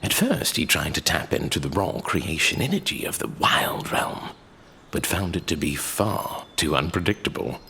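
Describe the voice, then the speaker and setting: An actor playing an elder mentor in a fantasy audio drama, telling dark war history in a breathy, theatrical way. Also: male voice, extremely low pitch, very animated, normal speed, slight background noise, full character voice. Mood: explanatory.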